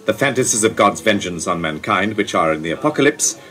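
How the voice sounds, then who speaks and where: An actor playing a brooding, dignified ageing playwright in a radio play, speaking in a grave, formal, oracular way. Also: male voice, fairly low pitch, animated, brisk, fairly bright tone. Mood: indignant. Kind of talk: broadcast radio play acting.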